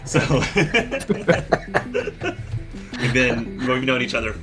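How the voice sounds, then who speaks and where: A man's voice: mid-range voice, big pitch swings, very slow. A man hosting a let's play, chatting casually with his group and laughing easily. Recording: guitar music playing underneath.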